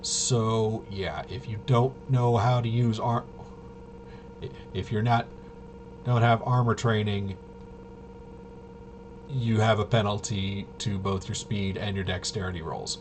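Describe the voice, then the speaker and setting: A man giving a casual, off-the-cuff rules walkthrough as a tabletop game reviewer, in a halting, mumbling way. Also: fairly low pitch, fairly even intonation. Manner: halting, mumbling through half-read rules. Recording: clearly noisy home recording.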